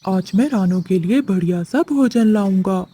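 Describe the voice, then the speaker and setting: A woman voicing a caring, gentle young husband sparrow in a children's cartoon. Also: medium pitch, animated, measured pace, breathy edge. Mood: reassuring.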